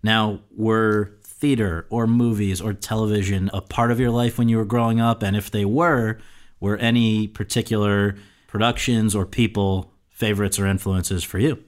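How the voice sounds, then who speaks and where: A man's voice: fairly low pitch, steady tone, moderate pace. A man hosting an interview podcast, speaking in prepared introductions and questions.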